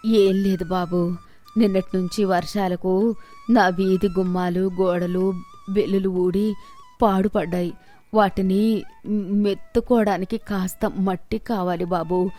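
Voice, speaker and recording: A woman's voice: medium pitch, steady tone, a little slow, long pauses, dark tone, natural voice. A woman voicing a shrewd, poor old village woman in a moral story, respectful in address but righteously firm, over music. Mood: humble pleading. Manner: pleading, respectful address building to stern rebuke.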